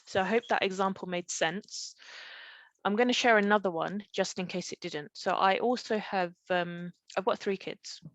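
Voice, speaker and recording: A woman in middle age teaching in a conversational webinar style, with a dry, close sound free of room echo. She explains step by step through personal stories and often stops to check that listeners follow. Her pitch sits in an ordinary female range. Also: moderate pace, fairly bright tone. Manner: explanatory, often checking in with "okay?" and rhetorical questions. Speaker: parenting coach leading a live online session. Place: live online group session.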